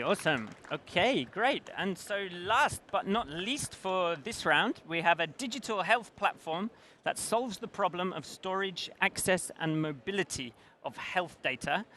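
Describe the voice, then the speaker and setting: A man's voice: sits high, sharp tone, slight background noise. A man hosting a stage event, introducing speakers and rallying the crowd. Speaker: event host. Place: outdoor stage.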